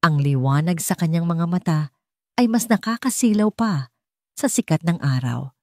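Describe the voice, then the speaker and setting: A Filipino-accented woman narrates a romance audiobook in a scripted, dramatic style. Her voice sits a little low, and the sound is very dry with almost no room on it. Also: lively intonation, normal speed, dark tone, no echo.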